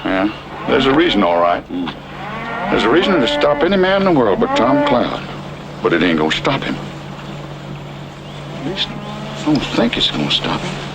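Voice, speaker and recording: A man's voice: medium pitch, huge pitch swings, moderate pace, pauses often. A man playing a folksy, blustery cowhand in an old-time radio western, with rustic speech and big emphasis. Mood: insistent. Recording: noisy old radio recording.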